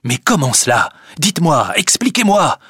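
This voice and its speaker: A man's voice: medium pitch, a little slow, pushed and bright, slightly rough, no echo, studio quality, heavily acted. A man voicing a passionate, desperate, hot-headed prisoner in a storybook reading. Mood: alarmed. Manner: intense, exclaiming.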